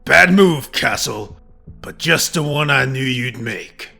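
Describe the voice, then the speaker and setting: Slow, creaky mob villain. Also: male voice, medium pitch, lively intonation, bright, forceful tone, soft background music, exaggerated voice. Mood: smug menace. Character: menacing, smug male mob villain. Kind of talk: comic book dub villain acting.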